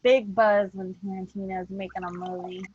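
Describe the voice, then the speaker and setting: A woman's voice: medium pitch, little pitch movement, normal speed, a little mellow, dull audio, a little hiss or hum. A woman talking casually as a podcast host, organizing her cast in a breezy, informal way. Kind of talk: casual chat.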